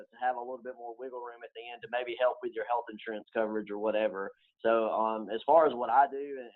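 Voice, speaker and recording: A man giving explanations as an interview guest, heard over a tinny, narrow line. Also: medium pitch. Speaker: guest investment advisor. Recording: tinny, band-limited phone-like line.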